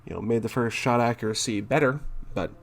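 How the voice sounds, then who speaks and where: A man doing casual commentary as he reads game notes aloud, halting and thinking out loud, with a blunt streak. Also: medium pitch. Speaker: gaming content creator and streamer.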